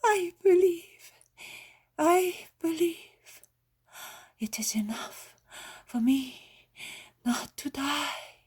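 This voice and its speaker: A woman voicing a thin, grey-haired old woman who speaks in high, wavering, breathy cries. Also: very animated, takes it slowly, pauses often, darkish tone, full character voice. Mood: weak, doubtful. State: feverish and weak at first.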